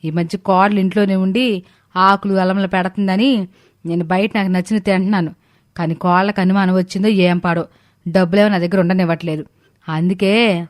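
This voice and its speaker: A woman playing a gluttonous, sly older mother-in-law in an animated story, with rustic, drawn-out exclamations. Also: mid-range voice, lightly acted. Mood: sheepish. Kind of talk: animated moral story dialogue.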